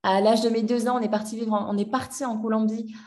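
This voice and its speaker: A woman's voice: on the high side, level tone, fast, darkish tone. Hesitant young woman, slightly distant mic. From France. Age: 20s. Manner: hesitant, many fillers and restarts. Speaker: guest. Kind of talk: personal story in a podcast interview.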